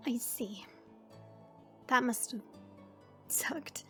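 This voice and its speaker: A woman playing a gentle dragon princess in an audio roleplay, speaking in a measured, formal and tender way. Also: fairly high pitch, soft background music. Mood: gentle, remorseful. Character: gentle dragon princess, proud gardener, softly regretful.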